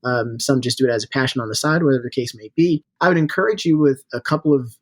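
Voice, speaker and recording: A man's voice: medium pitch, fast, no room sound. A man giving casual, encouraging interview answers as a podcast guest, with an upbeat and informal manner. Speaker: podcast guest, startup CEO and founder.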